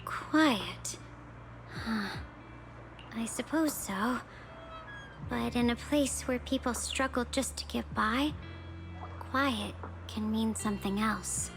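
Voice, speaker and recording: A woman voicing a brooding, cryptic, world-weary young woman in a video game, musing in a cool, deliberate way. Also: on the high side, music in the background, low-quality recording.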